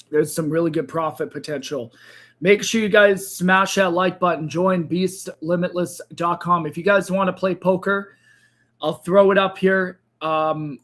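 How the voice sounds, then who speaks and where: A man hosting a crypto trading livestream, speaking casually to viewers, hoarse and tired as his voice gives out. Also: a bit bright. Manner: tired, low-energy sign-off with frequent fillers.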